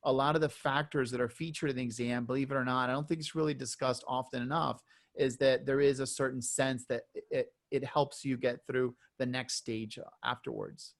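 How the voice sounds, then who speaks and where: A man's voice: mid-range voice, fairly even intonation, fairly quick. A man, a doctor, talking earnestly as a webinar panelist over a video call, often repeating words. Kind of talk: webinar discussion.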